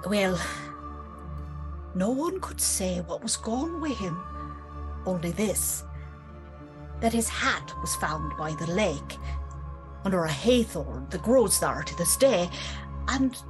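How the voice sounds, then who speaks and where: A woman's voice: on the low side, very animated, takes it slowly, pauses often, over music. A woman playing a secretive older servant telling tales in rustic, old-fashioned phrases for a gothic audio drama. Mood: hushed storytelling. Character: knowing, gossiping older female servant, secretive storyteller.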